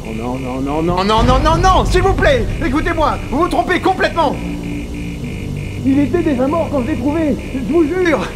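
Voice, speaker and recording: A man voicing a hot-tempered, sarcastic, foul-mouthed astronaut crewmate in an animated fan dub. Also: very high voice, moderate pace, over music, poor audio. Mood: panicked, pleading. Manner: sarcastic, crude, accusatory.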